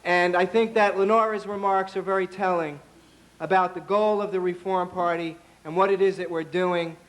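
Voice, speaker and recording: A hoarse man, a party official, giving a political speech at an event, halting with ums and building to a rousing call. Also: high-pitched, fairly even intonation.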